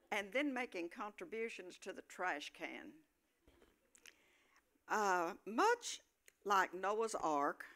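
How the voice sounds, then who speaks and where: A woman narrates a scripted comic monologue in a bright, forceful voice. Her delivery is slow, deliberate and wry, with lively swings in pitch. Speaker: narrator of a staged reading.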